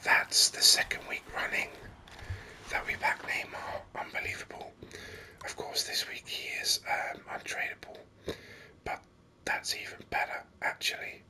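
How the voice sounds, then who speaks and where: A man whispering ASMR game commentary in a breathy, unhurried way, thinking aloud close to the microphone. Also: poor audio. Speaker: gaming video host.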